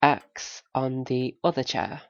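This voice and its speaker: A man's voice: mid-range voice, steady tone, slow, creaky, clean tone, muffled recording. A man playing a mild, doubtful listener in a naturalistic audio drama, answering in short, tentative, questioning replies. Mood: matter-of-fact.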